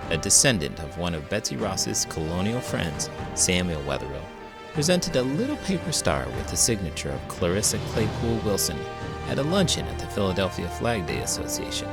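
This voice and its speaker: Low-voiced American man reading a storybook aloud. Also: gravelly. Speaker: host reading a children's picture book aloud. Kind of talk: storybook read-aloud narration.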